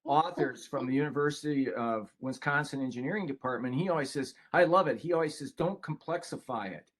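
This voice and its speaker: A man's voice: medium pitch, fairly quick. Folksy older man presenting casually. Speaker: webinar presenter and co-author. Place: online video call.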